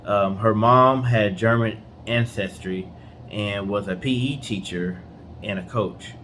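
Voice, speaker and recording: A man's voice: on the low side, steady tone, measured pace, fairly bright tone, slight background noise. A man co-hosting a casual storytelling show with a laid-back, playful way of talking. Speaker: co-host.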